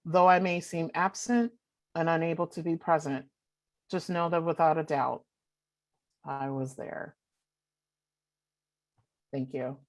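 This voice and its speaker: A young adult woman performing spoken word poetry with forceful, rhythmic declamation. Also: sits low, steady tone, slow pace, long pauses. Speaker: poet.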